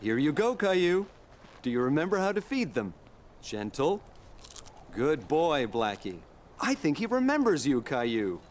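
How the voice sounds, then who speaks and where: A man's voice: on the high side, very animated, measured pace, slight background noise. A man playing a welcoming farmer in a children's cartoon, friendly and encouraging. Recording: faint farm ambience behind.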